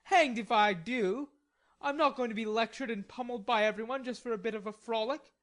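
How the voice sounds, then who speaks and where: A woman voicing a proud, hot-tempered young gentleman who speaks indignantly and defiantly. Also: on the high side, fairly quick, voice pushed up, natural voice.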